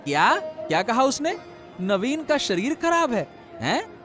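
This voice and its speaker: A man's voice: extremely high pitch, sing-song, takes it slowly, music in the background, exaggerated voice. A man playing a suspicious, exclaiming village fish seller in a cartoon story. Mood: startled, disbelieving.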